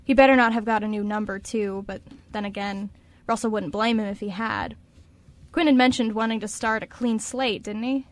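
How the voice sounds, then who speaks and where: A woman's voice: medium pitch, steady tone, normal speed. A woman reading scripted narration for a radio drama.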